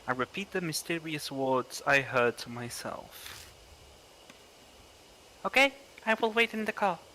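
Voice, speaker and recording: A man narrating a horror game on a livestream, reading aloud deliberately with pauses. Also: a little high, big pitch swings, bright, forceful tone, slight background noise. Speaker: streamer reading a visual novel aloud.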